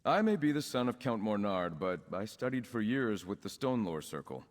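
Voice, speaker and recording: An actor playing an earnest, composed young nobleman in a video game, speaking in a formal, measured way. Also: lowish voice, sing-song, in a large hall. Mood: earnest, assured. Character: young nobleman, count's son with druid leanings, earnest and composed. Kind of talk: scripted video game dialogue.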